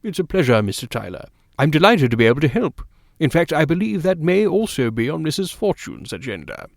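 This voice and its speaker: A man's voice: on the high side, big pitch swings, a little fast, gravelly, no room sound, voice pushed up, exaggerated voice. A man voicing a polite male doctor with a dry, amused way of speaking.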